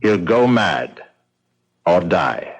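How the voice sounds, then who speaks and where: An American actor in an old-time radio drama, playing a cold, unhurried, sinister captor. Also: male voice, low voice, big pitch swings, vocal fry, full character voice. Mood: grimly detached. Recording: muffled vintage radio drama recording.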